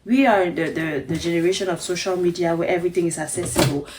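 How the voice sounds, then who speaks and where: Earnest woman from Cameroon, lowish voice. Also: fairly even intonation, quick pace, noisy background. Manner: earnest, frequent tag questions. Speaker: vlogger. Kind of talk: reflective talk to camera. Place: home bedroom.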